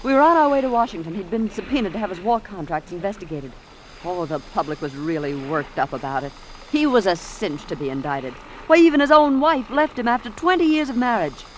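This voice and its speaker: A woman's voice: mid-range voice, sing-song, fairly quick, lightly acted, poor audio. An American woman acting an anxious, ambitious secretary in an old-time radio suspense drama, with an earnest, melodramatic delivery. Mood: confiding. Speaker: actress playing the secretary. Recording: vintage radio drama, faint background noise.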